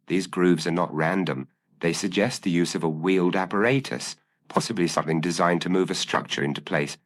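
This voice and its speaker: A man voicing a shrewd, confident gentleman detective in an audio story, speaking precisely and formally. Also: sits low, a little fast, a little hiss or hum, natural voice. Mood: assured, analytical.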